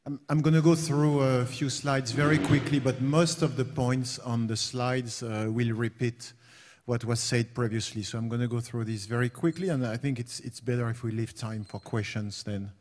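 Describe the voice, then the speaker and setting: A man's voice: medium pitch, fairly even intonation, normal speed, a little mellow. Middle-aged man from France in a hall. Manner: hesitant, many "uh" fillers. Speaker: panel speaker, company founder. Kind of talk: conference panel talk. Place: conference hall. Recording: long reverb of a hall.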